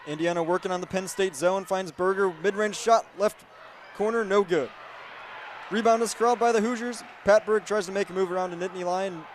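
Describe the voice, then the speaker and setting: A man's voice: high voice, steady tone, brisk, slight background noise. A young man in his twenties giving radio play-by-play commentary of a basketball game. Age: 20s. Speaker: student sports broadcaster and reporter. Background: basketball game ambience.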